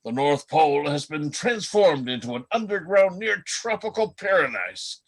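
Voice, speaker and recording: A man playing a chatty, world-weary reindeer in an amateur radio play, telling gossip in a folksy, halting way. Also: on the high side, very animated. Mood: wry, explaining.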